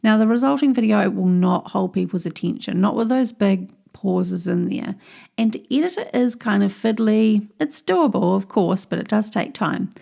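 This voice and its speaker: A woman's voice: medium pitch, animated, moderate pace, creaky, warm tone. A woman with a New Zealand accent hosting her own podcast, explaining tips in an upbeat, chatty way. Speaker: podcast host. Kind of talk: solo podcast tips episode.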